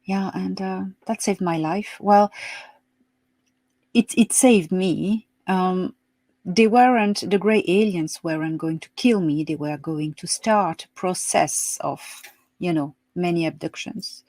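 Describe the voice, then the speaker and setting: Soft-spoken French woman, low voice. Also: takes it slowly, no echo. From France. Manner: soft-spoken, hesitant with many ums, laughs easily. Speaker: interview guest. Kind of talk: remote interview, storytelling.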